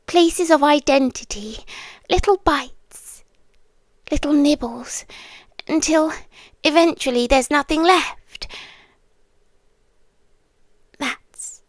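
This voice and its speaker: A British woman playing a possessive demon girlfriend in an ASMR roleplay, halting and breathy, eerily tender and menacing, close on the mic. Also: high voice, no echo. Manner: breathy whispering, halting, drawn-out delivery. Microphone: close, dry ASMR microphone.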